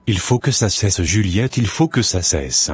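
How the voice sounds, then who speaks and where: Man acting a very deep, husky exasperated husband. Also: lively intonation, full character voice. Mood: weary, reproachful. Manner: weary, reproachful, deliberate short phrases. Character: exasperated husband fed up with quarrelling. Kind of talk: scripted cartoon dialogue.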